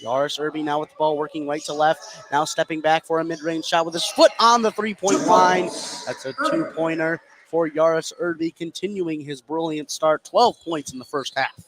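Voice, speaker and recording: A man's voice: a little high, fairly even intonation, normal speed, crowd noise, poor audio. A man giving live play-by-play commentary on a basketball game for radio.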